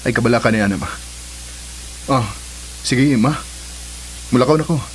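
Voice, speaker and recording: A man's voice: mid-range voice, a little slow, a little hiss or hum, close to an everyday voice, low-quality recording. A man playing a protective, affectionate grown son in a Philippine radio drama, theatrical and emphatic, with a Filipino Cebuano accent.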